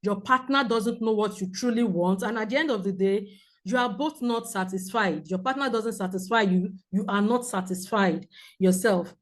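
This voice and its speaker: A woman counsellor with a loud voice, teaching a group in a clear, measured way with examples. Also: mid-range voice. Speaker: premarital counsellor. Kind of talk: teaching a seminar talk.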